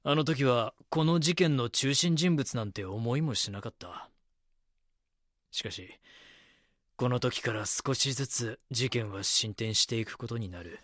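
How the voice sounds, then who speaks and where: A man's voice: mid-range voice, measured pace, a bit bright, dry recording, clean studio sound. A man playing an eager, dogged young magazine reporter in an audio drama, polite and deferential. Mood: reflective. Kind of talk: Japanese audio drama dialogue and narration.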